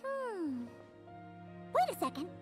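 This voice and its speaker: Very squeaky childlike game companion. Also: female voice, wildly swooping pitch, takes it slowly, over music, exaggerated voice. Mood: doubtful, pondering. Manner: refers to self in third person, squeaky. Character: small floating childlike companion, perky and cautious. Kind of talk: anime video game dialogue.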